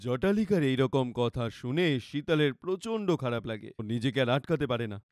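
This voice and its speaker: A man's voice: mid-range voice, lively intonation, a little fast, darkish tone, dry recording, studio quality. A man narrating a scripted cartoon fairy tale as the storyteller.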